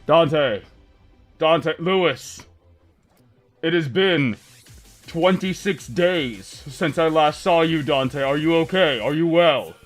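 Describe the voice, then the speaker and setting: A man's voice: a little high, slow pace, faint music behind, voice pushed up. A man voicing a fussy, doting guardian angel who frets and nags like a parent. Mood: concerned, doting. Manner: anxious, fretful, exclaiming.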